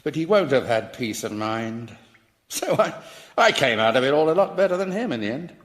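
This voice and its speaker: A man's voice: mid-range voice, sing-song, normal speed, a bit bright. An actor playing a pompous, self-righteous man who is easily outraged, in a radio comedy, with an emphatic, exasperated manner. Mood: earnest, pompous. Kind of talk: radio sitcom acting.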